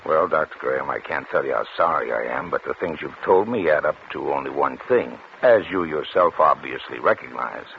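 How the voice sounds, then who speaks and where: A man playing a grave, accusing investigator with emphatic, measured delivery in a 1940s radio thriller. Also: extremely low pitch, expressive, gravelly, exaggerated voice. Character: grave male investigator making a blunt murder accusation. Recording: muffled, very noisy old radio recording.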